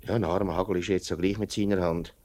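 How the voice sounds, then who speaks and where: Low-pitched, hearty working-class father, Swiss German dialect, 1950s radio drama, down-to-earth and kindly. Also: fairly even intonation, quick pace, darkish tone. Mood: reflective. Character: plain-spoken, warm-hearted working-class family man and foreman.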